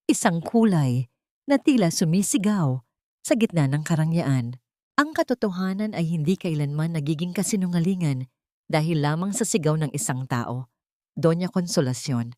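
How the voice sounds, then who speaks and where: A woman's voice: lowish voice, darkish tone, no echo, clean studio sound. Woman narrator with dramatic, swooping delivery. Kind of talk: dramatic scripted story narration.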